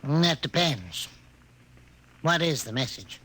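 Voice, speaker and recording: A man's voice: fairly high pitch, animated, slow. A man acting in a 1950s radio drama as an officious prison custodian with a clipped, official manner. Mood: curt, menacing. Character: curt, officious male prison custodian, quietly menacing. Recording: noisy old radio recording with clicks.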